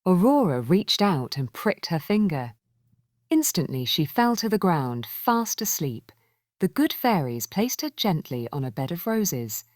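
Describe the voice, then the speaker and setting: A woman with a low-pitched voice tells a children's fairy tale. Her delivery is expressive and measured, with lively rises and falls, and the recording is close and dry. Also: no room sound. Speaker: storyteller.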